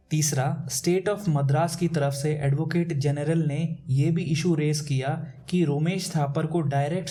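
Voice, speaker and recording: A man's voice: fairly high pitch, dark tone. An Indian man presenting a scripted educational narration in a formal, methodical explaining manner. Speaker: presenter of legal case explainers.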